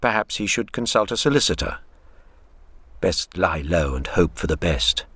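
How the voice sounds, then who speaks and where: A man's voice: deep voice, lively intonation, normal speed, sharp tone, husky, dry recording, exaggerated voice. A man acting as the grave narrator of a literary radio drama. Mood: brooding, measured. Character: grave literary narrator telling a troubled man's story.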